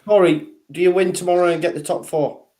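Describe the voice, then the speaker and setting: A man's voice: high voice, steady tone, fairly quick, warm tone. A man from Halifax in Yorkshire on a casual online football chat, giggly and laughing often, talking into a headset. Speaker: panel guest.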